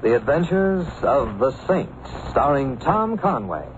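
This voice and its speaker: A man's voice: mid-range voice, sing-song, unhurried, highs cut off, very noisy. A man announcing in a grand, scripted 1950s radio style. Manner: grand, declamatory. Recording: band-limited old radio transcription. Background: loud music.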